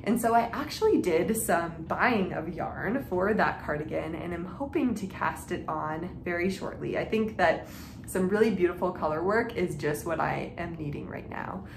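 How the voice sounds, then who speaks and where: A woman's voice: fairly low pitch, moderate pace, gravelly. A woman hosting a craft podcast, chatting informally to her viewers with frequent filler "like" and self-interruptions. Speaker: knitting and reading podcast host. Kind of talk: casual chat to viewers. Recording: echoey room with faint background noise.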